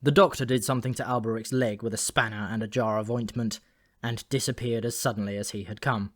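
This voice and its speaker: A man's voice: medium pitch, fairly even intonation, brisk. Man narrating comic fiction. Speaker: audiobook narrator.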